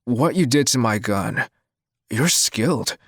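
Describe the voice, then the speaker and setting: A man's voice: mid-range voice, lively intonation, slow, a little hiss or hum. An actor playing an uneasy, indignant millionaire businessman in an audio drama, wary in his delivery.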